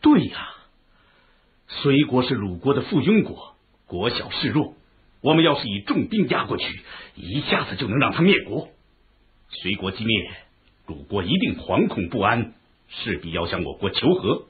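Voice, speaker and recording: A lordly duke of ancient China, very husky and full of ambition. His intonation swings widely. The recording is thin and phone-like, in radio drama style. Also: mid-range voice, very animated, normal speed. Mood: resolute, ambitious. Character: ambitious ancient Chinese duke, commanding yet consulting. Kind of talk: Chinese historical radio drama acting.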